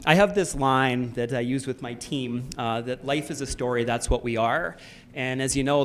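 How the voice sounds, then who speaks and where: A man's voice: mid-range voice, slight background noise. A man with a Canadian accent, introducing a festival panel as its moderator in a loose, filler-heavy way. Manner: conversational, many fillers and "sort of".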